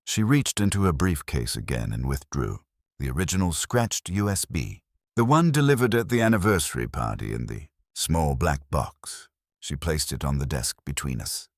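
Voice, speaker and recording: Man, very deep bass voice, animated intonation, scripted story narration, deliberate delivery. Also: no room sound, studio quality. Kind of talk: scripted dramatic first-person audiobook-style narration.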